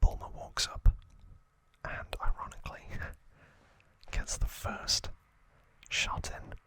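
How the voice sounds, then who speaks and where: A man speaks entirely in a breathy, crisp-edged whisper as an ASMR host. He goes very slowly through a story recap, breaking it into short hushed phrases with gentle pauses.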